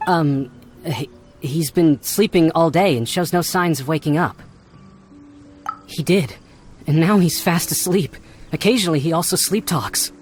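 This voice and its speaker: A woman's voice: deep voice, a little slow, darkish tone, soft background music. A woman voicing a hesitant, concerned knight in a video game. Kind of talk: video game character acting.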